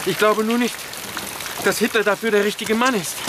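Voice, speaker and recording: Man acting a high-voiced, deferential imperial aide. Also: steady tone, normal speed, background noise, lightly acted. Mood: earnest. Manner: deferential, earnest. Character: deferential, soothing male aide to the emperor. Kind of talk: radio drama acting.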